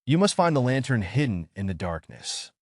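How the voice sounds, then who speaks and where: A man voicing a wise, kindly old forest guardian in a bedtime story, gentle and solemn in his measured delivery. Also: medium pitch, sing-song, dry recording, studio quality. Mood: instructing. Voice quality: soft, gentle.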